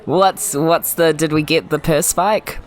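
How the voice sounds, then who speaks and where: A man's voice: a little high, steady tone, unhurried. A man improvising casually as a player in a tabletop game, in a jokey way. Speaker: tabletop role-play player. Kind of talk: casual improvised role-play.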